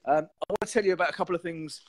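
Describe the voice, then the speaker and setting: A man, hoarse and croaky, hosting a casual live stream show and chatting in a rambling way. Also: a little high, very fast. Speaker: podcast host.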